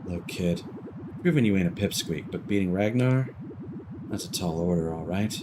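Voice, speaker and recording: A man reads out a gruff video game smuggler in a low voice. The smuggler is skeptical, blunt and slangy, with clear noise behind the voice. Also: moderate pace, close to an everyday voice. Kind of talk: reading video game dialogue aloud.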